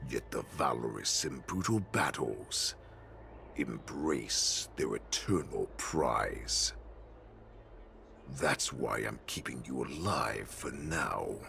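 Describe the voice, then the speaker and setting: Gravelly, abyss-deep wolf warlord. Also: male voice, expressive, sharp tone, a little hiss or hum, full character voice. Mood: mocking, grandiose, then predatory menace. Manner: slow, theatrical, contemptuous. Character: menacing, gloating wolf-beast warlord. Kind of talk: dramatic video game acting.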